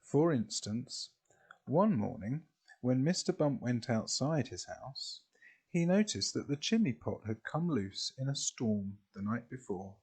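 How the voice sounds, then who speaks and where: A man reading a children's storybook expressively, in a deliberate way with drawn-out phrases. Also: medium pitch, sing-song, darkish tone, breathy edge.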